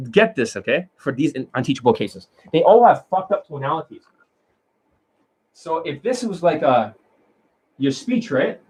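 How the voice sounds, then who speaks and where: A man with a somewhat high voice rants through a dating-coach lecture. He is blunt and profane, jumping from loud outbursts to low, grumbling mutters as he tears into his subject. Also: husky, poor audio. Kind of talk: ranting monologue lecture.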